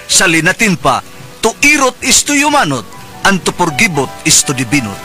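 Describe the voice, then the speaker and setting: A man's voice: sits high, wildly swooping pitch, normal speed, bright, forceful tone, faint music behind. A man declaiming a scripted radio intro in a playful, mock-solemn manner. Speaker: radio programme announcer.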